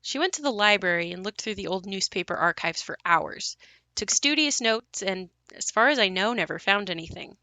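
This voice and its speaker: An actress as a wry young woman narrating a stage-style audio drama about her haunted house. Her voice is bright and forward, set at a middling pitch. The recording is close and dry, and she tells it conversationally. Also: expressive, normal speed, dry recording. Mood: wry, reflective. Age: young adult. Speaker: narrator character in an audio play. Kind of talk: scripted dramatic monologue.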